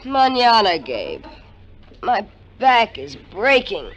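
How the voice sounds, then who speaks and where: An actress playing a bored, scheming American wife, a petulant and seductive femme fatale in a 1950s radio drama. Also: female voice, medium pitch, huge pitch swings, takes it slowly, highs cut off. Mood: petulant, whining. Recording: noisy vintage radio recording.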